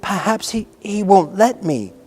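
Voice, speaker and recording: A man's voice: fairly high pitch, lively intonation, darkish tone, faint music behind, lightly acted. A man voicing a flustered, frightened female nurse in a storybook, with an anxious manner. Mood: hesitant, afraid. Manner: hurried, anxious.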